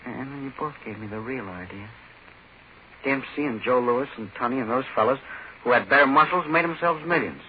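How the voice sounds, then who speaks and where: An actor playing a menacing, power-drunk ordinary little man in 1940s radio horror, speaking haltingly and insistently. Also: mid-range voice, natural voice. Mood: halting, self-justifying. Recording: muffled, noisy old 1940s radio recording.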